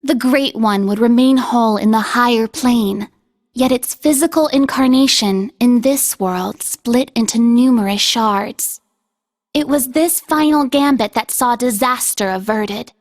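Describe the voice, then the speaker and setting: A woman acting in a video game as a solemn storyteller who narrates an ancient legend in measured phrases. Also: a little high, lightly acted. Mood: solemn, grave.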